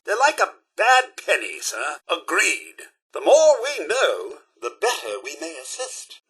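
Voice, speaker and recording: Prim robot butler, British accent, wildly swooping pitch, husky edge, fretful and loyal, video game companion. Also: male voice, pushed and bright, exaggerated voice. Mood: courteous, upbeat. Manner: prim, courteous, theatrical.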